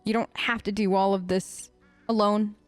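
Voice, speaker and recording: A woman's voice: mid-range voice, fairly even intonation, normal speed, no room sound, soft background music. A woman doing casual let's play role-play, answering game characters in an informal, hesitant, playful way.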